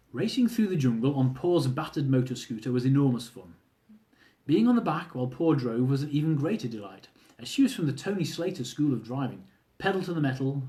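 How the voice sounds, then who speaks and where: A man's voice: medium pitch, warm tone. A man reading his own humorous memoir aloud, telling the story wryly and speaking asides to his listeners. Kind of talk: audiobook-style reading of a travel memoir.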